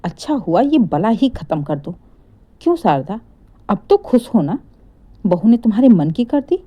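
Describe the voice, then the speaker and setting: A woman's voice: medium pitch, very animated, normal speed, highs cut off. A woman voicing a blunt, needling older aunt in a family story. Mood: blunt agreement. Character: blunt older visiting aunt, siding against her sister.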